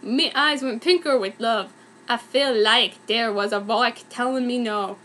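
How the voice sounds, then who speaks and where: Young woman w a really high voice doing a mock earnest dramatic fanfic reading, comedy style. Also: unhurried, a bit bright. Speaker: fan fiction reader. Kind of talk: dramatic reading of a fan story, played for comedy.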